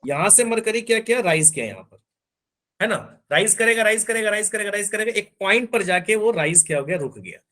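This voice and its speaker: Lively male physics teacher. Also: sits high, a little fast. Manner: explains step by step, often checks students understand. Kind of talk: online class lecture.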